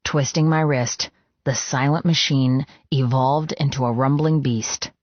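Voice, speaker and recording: A man's voice: mid-range voice, no room sound. A man narrating a novel in the first person as a scripted, intense and dramatic read. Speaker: audiobook narrator. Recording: dry, slightly dull sound.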